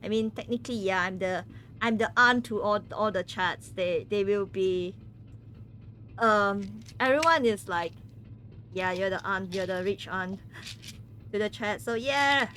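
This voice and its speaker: A woman's voice: mid-range voice, unhurried, fairly bright tone, slight background noise. A woman from Malaysia, chatting casually with viewers on a game stream. She giggles often and stumbles over words.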